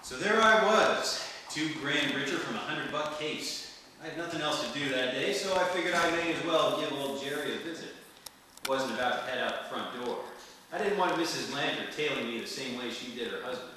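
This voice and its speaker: An actor playing a wry, smooth-talking hardboiled private detective in the manner of an old radio serial. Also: male voice, on the high side, lively intonation, brisk, bright, forceful tone. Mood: wry narration. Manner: wry, flirtatious, world-weary patter. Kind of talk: 1940s detective radio serial acting, noir narration. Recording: roomy distant hall recording, faint noise.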